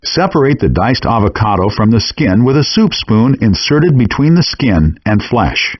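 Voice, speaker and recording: A man's voice: medium pitch, no echo. A man reading scripted step-by-step instructions as the narrator of a game. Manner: clear step-by-step explaining. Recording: dull, compressed handheld game audio.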